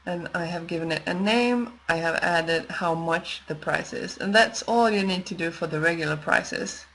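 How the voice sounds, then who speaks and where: A woman narrating an unscripted step-by-step screen tutorial, explaining in a measured way with pauses as she works. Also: lowish voice, a bit bright, slight background noise, poor audio. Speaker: software tutorial presenter.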